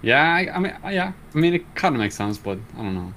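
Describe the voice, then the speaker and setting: A man's voice: fairly high pitch, big pitch swings, fast talker, fairly bright tone, a little hiss or hum. A man guesting on a streamer podcast, speaking hesitantly with many ums and restarts. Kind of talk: casual chat.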